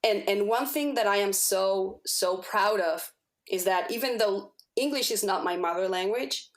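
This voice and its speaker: A woman's voice: mid-range voice, a little slow. A woman whose first language is Spanish, a podcast guest giving a personal testimonial, earnest and often searching for words as she repeats herself. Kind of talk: personal testimonial in casual conversation.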